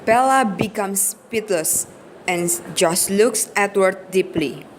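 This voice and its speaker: A woman's voice: medium pitch, slow pace, a little hiss or hum. A woman narrating an amateur radio play from a script.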